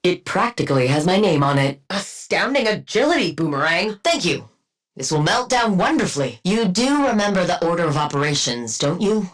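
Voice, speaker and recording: A woman's voice: fairly low pitch, big pitch swings, normal speed. A woman voicing a coldly arrogant, menacing super-scientist villain in a video game, speaking with haughty, dryly sardonic precision and clinical diction. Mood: dry, superior. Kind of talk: video game character voice lines.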